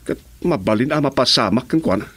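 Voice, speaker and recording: A man's voice: medium pitch, expressive, fast talker, fairly bright tone, slightly rough. An actor playing a jumpy, eager henchman who defers to his boss in a radio serial drama. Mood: uneasy, eager.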